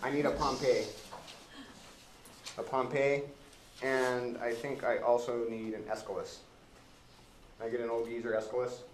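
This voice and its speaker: A man teaching literature in an informal classroom lecture, with a halting, rambling and wry delivery. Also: medium pitch, level tone, slight background noise, poor audio.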